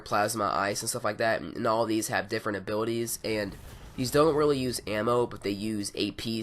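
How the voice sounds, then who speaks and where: A man with a raspy, hoarse voice from being sick, casually reviewing game mods with a rambling, filler-heavy delivery. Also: fairly low pitch, fairly bright tone. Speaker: gaming YouTuber. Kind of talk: casual mod review over gameplay.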